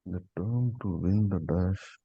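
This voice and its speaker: A deep-voiced man with a husky, mellow tone answers quiz questions casually, thinking aloud. The sound is dry and somewhat muffled. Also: takes it slowly, no echo, soft background music. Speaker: quiz contestant.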